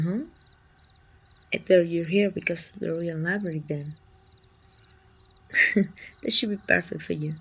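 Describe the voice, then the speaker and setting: A woman's voice: lowish voice, slightly rough. A woman with a Mexican accent playing a friendly, helpful, chatty city guard from a medieval fantasy kingdom in an ASMR roleplay, speaking reassuringly and politely to the listener. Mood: friendly, curious.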